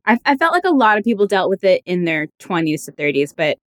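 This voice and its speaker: A woman's voice: lowish voice, quick pace. A woman in her early thirties chatting casually and openly, with some swearing. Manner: open, animated, profane. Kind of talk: candid casual chat.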